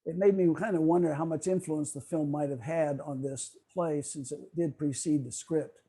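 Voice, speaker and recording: A man presents to a club over a video call, in a partly scripted way. His voice is mellow and soft-edged, pitched a little high. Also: fairly even intonation, normal speed, slightly rough.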